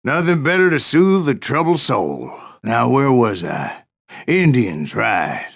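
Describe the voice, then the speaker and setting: An actor playing an old American Wild West bounty hunter, gruff and weathered, spinning vengeful tales in an unhurried, folksy, salty way. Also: male voice, mid-range voice, big pitch swings, heavily acted. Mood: wry reminiscing. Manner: unhurried, folksy, salty-tongued drawling storyteller. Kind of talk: first-person yarn-spinning narration for a Western game.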